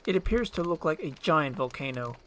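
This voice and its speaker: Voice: medium pitch, expressive, brisk, fairly bright tone. A storyteller narrating a screenplay as a fairy-tale story, describing the scenes in the present tense.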